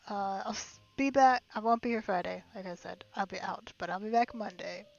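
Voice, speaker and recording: A woman's voice: medium pitch, brisk, gravelly, dull audio. A woman chatting casually and a little giggly as she livestreams a video game. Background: game music.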